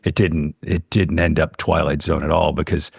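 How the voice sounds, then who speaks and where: Male interview guest, deep register, informal conversational talk, dry studio-like sound. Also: fairly even intonation, moderate pace, creaky, slightly rough, no room sound. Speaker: podcast guest, audio storyteller.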